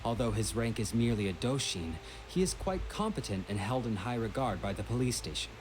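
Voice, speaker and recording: Earnest, exasperated young man, very expressive acted. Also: on the low side, a little fast, noisy background, lightly acted. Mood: earnest explaining. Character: polite, earnest, long-suffering young male detective's colleague. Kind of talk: video game voice acting.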